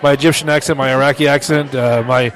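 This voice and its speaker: A man's voice: medium pitch, fairly even intonation, fast talker, fairly bright tone. A man hosting a casual comedy podcast, rambling and stumbling over restarts as he talks. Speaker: podcast host.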